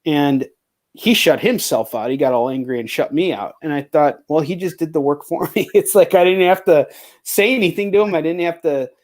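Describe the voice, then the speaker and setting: A man with a fairly high voice chats as a livestream host. He rambles in slangy, profane language, as if shooting the breeze with a fellow creator. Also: moderate pace. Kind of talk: casual chat with a guest.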